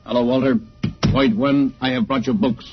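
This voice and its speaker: A man's voice: medium pitch, steady tone, moderate pace, background noise, natural voice. A male actor playing an ageless, emotionless alien zookeeper with stilted, formal, menacing speech in an old radio drama. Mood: cold, matter-of-fact. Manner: stilted, formal, numbers points, clipped logical statements. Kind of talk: 1950s radio drama acting. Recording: muffled, worn old radio recording.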